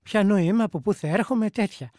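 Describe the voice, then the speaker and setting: A woman's voice: mid-range voice, dark tone, no room sound, studio quality, lightly acted. A woman playing an emigrant ex-soldier man, telling an anecdote colloquially in speech for a radio play. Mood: reminiscing. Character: plain-spoken emigrant army veteran man recounting his past.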